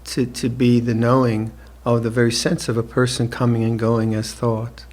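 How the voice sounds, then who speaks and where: A monk in his seventies gives an evening dharma talk, speaking slowly and reflectively with gentle restarts and soft laughter. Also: medium pitch, level tone. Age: 70s. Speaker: monk teacher. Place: retreat hall with listeners.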